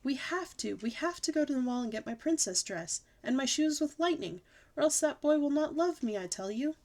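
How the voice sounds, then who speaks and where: A woman voicing a bossy, excitable little girl in an audiobook, with loud, wheedling kid chatter. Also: high voice, brisk, voice pushed up. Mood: pleading. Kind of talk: audiobook character voice.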